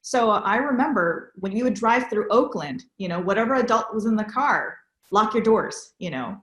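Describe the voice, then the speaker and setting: A woman's voice: mid-range voice, animated, moderate pace, fairly bright tone, echoey room, low-quality recording. A woman from the San Francisco Bay Area hosting a conversation, explaining ideas in a thoughtful way. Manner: explanatory, thoughtful, frequent "you know". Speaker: podcast host. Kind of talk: conversational interview.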